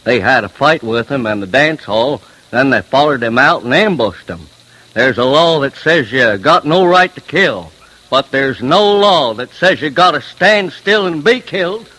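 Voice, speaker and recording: Rough, bright-voiced folksy male storyteller. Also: mid-range voice, expressive, a little slow, poor audio. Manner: folksy, clipped phrasing. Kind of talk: colloquial storytelling.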